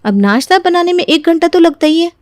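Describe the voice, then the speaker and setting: A woman voicing a young, exasperated daughter-in-law who argues back, in an animated family moral story. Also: extremely high pitch, fairly quick, no room sound, clean studio sound. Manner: pert, aggrieved, arguing.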